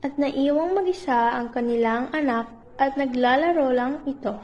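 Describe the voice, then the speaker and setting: A woman's voice: sits high, moderate pace, a little mellow, muffled recording. A woman narrating a scripted story for a puppet show in storybook style.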